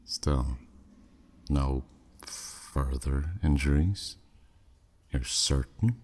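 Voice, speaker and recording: An exceptionally deep-voiced demon played by a man speaks slowly, with a husky rasp. He is a haughty, flirty buyer in an ASMR audio drama, drawling with sardonic, dismissive skepticism. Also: exaggerated voice. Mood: probing, interested. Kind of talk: scripted ASMR audio roleplay.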